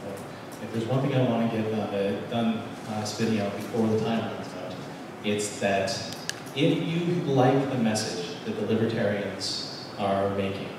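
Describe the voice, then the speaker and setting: Halting young man with low voice. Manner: halting, many fillers. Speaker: political candidate. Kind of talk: closing statement at a candidates debate. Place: large banquet hall. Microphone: handheld mic. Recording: echoey hall from far off, background noise.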